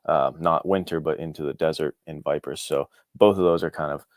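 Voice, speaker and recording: A man's voice: rumbling low, steady tone, fairly quick, no room sound, studio quality. A man talking casually and informally as an interview guest, with an enthusiastic manner. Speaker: guest, indie author.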